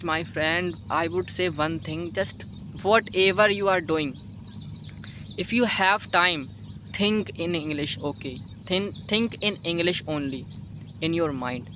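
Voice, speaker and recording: A Hindi-speaking man with an Indian accent giving informal English-learning advice in a halting, repetitive, emphatic way, often tagging phrases for agreement. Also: high voice, pushed and bright, slight background noise. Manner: halting, repetitive, emphatic, frequent "you know" and "okay" tags. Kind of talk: informal spoken advice to viewers.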